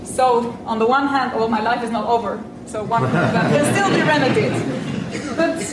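A middle-aged woman from Albania whose first language is French, giving a measured, reflective academic lecture with touches of self-deprecating humor. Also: fairly high pitch, animated, recorded from a distance, over a noisy crowd, heavy background noise. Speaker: invited speaker. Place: lecture room. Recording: echoey room with faint ambience.

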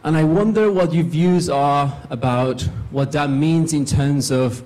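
A man's voice: a little high, a little slow, darkish tone, low-quality recording. A man in the audience asking the panel a courteous, searching question.

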